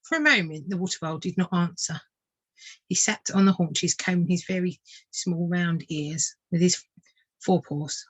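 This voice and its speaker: A woman narrating a children's storybook like a tutor reading aloud, measured and expressive. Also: on the low side, husky, no echo, low-quality recording.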